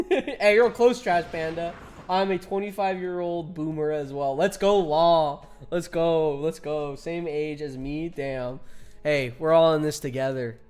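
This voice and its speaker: A man's voice: on the high side, darkish tone. Chatty young man streaming a game. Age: 20s. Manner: chatty, laughs often, reads chat aloud. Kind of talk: casual live-stream chat with viewers.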